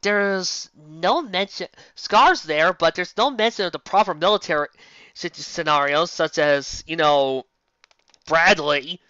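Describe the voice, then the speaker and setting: A woman's voice: lowish voice, unhurried, pushed and bright, dry recording, dull audio. A woman giving casual, unscripted fan fiction commentary, incredulous and exasperated, often stopping to rephrase and asking rhetorical questions.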